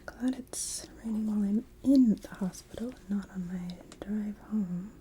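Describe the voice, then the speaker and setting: A woman doing an ASMR roleplay as a gentle hospital nurse, whispering softly and reassuringly through an exam. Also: mid-range voice, a little slow, slight background noise. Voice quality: whispery, breathy. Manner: gentle, reassuring, checking in with short questions. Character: gentle night-shift hospital nurse examining a patient. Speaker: ASMR performer playing a nurse.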